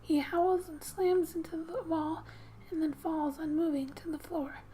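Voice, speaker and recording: A woman speaking softly and breathily in gentle ASMR style, often slipping into a whisper as she reads a game story aloud with casual asides. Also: very high-pitched, steady tone, a little slow, a little hiss or hum, rough recording. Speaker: gaming ASMR creator. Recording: quiet background music. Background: soft music.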